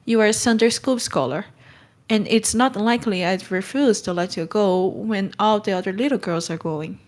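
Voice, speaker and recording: A woman's voice: medium pitch, moderate pace, close to an everyday voice. A woman playing a stern but kind middle-aged guardian in a storybook read-along, brusque and scolding. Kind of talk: acted audiobook read-along.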